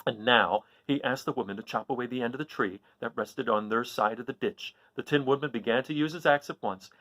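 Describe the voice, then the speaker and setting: Animated male storyteller with swooping pitch. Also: no room sound. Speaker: storyteller reading aloud. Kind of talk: expressive children's story narration.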